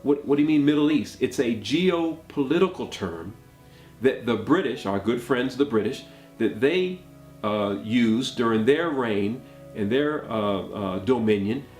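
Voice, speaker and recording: A man's voice: mid-range voice, expressive, a little slow, darkish tone. Man lecturing, emphatic, rhetorical questions, echoey room with faint music under it. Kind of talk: lecturing monologue.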